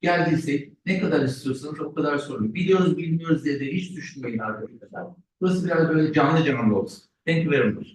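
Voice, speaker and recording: A man's voice: on the high side, quick pace, few pauses, slight background noise. A man hosting a talk, chatty and encouraging. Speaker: host. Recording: echoey room from far off.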